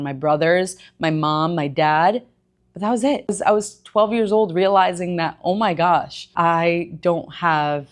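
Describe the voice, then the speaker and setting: A young woman from Egypt, a gym owner and personal trainer, candidly telling her life story in a conversational vlog with emphatic asides. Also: fairly low pitch. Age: young adult.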